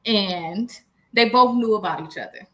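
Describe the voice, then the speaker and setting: A woman's voice: mid-range voice, unhurried, bright, forceful tone. An American woman co-hosting a casual relationship talk, sharing anecdotes in a frank, slangy and emphatic way. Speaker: podcast co-host.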